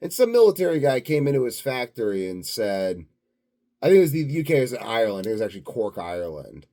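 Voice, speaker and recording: A man's voice: mid-range voice, big pitch swings, quick pace, warm tone. A self-assured, blunt man rambling unscripted to his viewers in a grandiose solo monologue. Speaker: solo video host.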